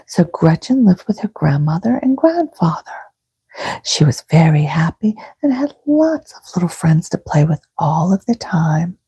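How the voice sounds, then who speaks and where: A woman reads bedtime stories in a soft, whispery ASMR style, gentle, soothing and unhurried. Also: lowish voice, sing-song, no room sound. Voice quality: breathy, whispery. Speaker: host reading bedtime stories. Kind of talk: soft-spoken ASMR storybook reading.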